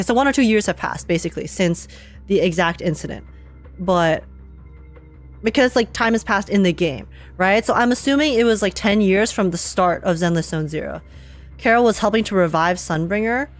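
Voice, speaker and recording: A woman reacts live to a video game as a streamer. Her voice sits at an ordinary female pitch, and she rambles as she thinks aloud, with game music behind her.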